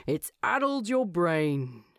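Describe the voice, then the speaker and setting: A woman voicing a commanding male dwarf king, gruffly fond and dryly teasing, in a fantasy storybook. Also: lowish voice, sing-song, slow, no room sound, studio quality, heavily acted. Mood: gruff concern.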